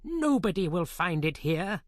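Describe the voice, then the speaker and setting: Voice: mid-range voice, very animated, measured pace, dry recording, clean studio sound, pitched above the performer's own voice. A narrator voicing a wicked sorceress queen who speaks with spiteful defiance. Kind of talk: audiobook character voice.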